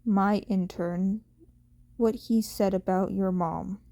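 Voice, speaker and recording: A woman voicing a tired, gruff adult male pro hero in an audio story, deadpan and measured. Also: medium pitch. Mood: flat, serious.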